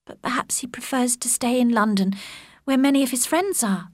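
A woman voicing a gentle young woman, a soft-spoken elder sister, in a subdued way. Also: on the high side, normal speed, no room sound, studio quality, voice pushed up, natural voice. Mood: hurt, subdued. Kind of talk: audiobook character voice.

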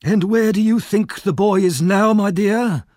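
A man voicing a greedy, sly old male fence in an audiobook, wheedling one moment and shrill with rage the next. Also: measured pace, a little mellow, no echo, studio quality. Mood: threatening. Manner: wheedling, shrill when angry.

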